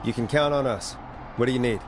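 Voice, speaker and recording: A man playing an earnest, caring late-night radio host in a measured, dramatic style. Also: medium pitch, music in the background, lightly acted. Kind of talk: dramatic game voice acting.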